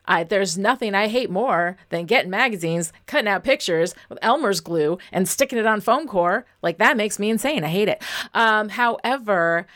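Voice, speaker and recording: A woman's voice: medium pitch, animated, moderate pace, fairly bright tone. A woman giving casual, instructional advice as a writing coach, speaking in a candid, emphatic, conversational way. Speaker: writing coach and novelist, interview guest.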